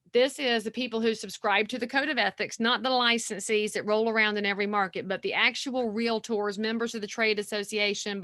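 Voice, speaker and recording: A woman, talking as a guest realtor on a podcast, folksy and energetic. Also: mid-range voice, dry recording, studio quality. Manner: energetic, folksy, animated storytelling. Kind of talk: podcast interview.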